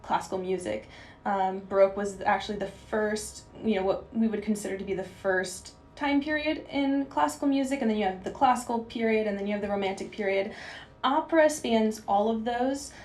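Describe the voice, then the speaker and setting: A woman of twenty-two, a guest singer on a radio show, explaining clearly and filling gaps with "you know". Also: medium pitch, brisk, darkish tone, reverberant, soft background music. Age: young adult. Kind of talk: interview answers.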